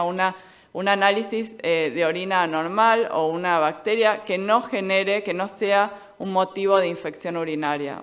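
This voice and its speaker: A woman with an Argentine accent giving an explanatory medical lecture in a didactic way, posing questions and answering them. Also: mid-range voice. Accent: Argentine Spanish.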